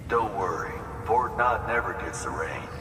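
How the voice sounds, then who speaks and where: Game cutscene male actor: helpful, folksy outpost man, lowish voice, plainspoken, echoing space with clear background noise. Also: unhurried, natural voice. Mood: folksy, businesslike. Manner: folksy, drawling turns of phrase. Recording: echoey hall, distant, noisy ambience.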